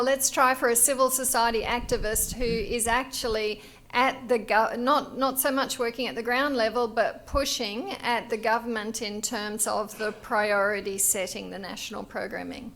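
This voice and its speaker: Higher-pitched woman moderating a panel. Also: a bit bright. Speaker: session moderator. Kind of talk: moderating a live discussion, taking audience questions.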